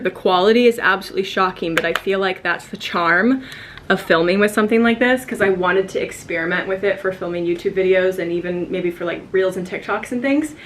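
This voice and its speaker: A woman's voice: medium pitch, a little hiss or hum. Tipsy, chatty American woman vlogging. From United States. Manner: chatty, giggly, rambling with fillers. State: a little tipsy. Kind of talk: casual vlog chat to camera. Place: hotel room indoors.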